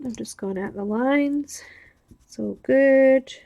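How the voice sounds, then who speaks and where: A woman with an Australian accent and a nasal voice, chatting casually while coloring on a livestream, thinking aloud with pauses. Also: high voice, gravelly, warm tone, dull audio.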